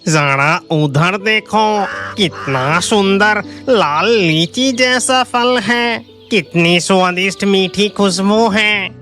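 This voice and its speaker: Woman as slow-talking cartoon bird. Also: mid-range voice, fairly bright tone, faint music behind. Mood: delighted. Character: bird companion, admiring fruit, easily worried. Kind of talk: Hindi cartoon story character acting.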